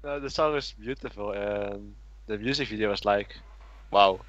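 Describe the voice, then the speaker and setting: A man's voice: lowish voice, moderate pace, a bit bright, no echo. A man chatting casually as a podcast panelist, on a slightly dull lo-fi track. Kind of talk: casual chat.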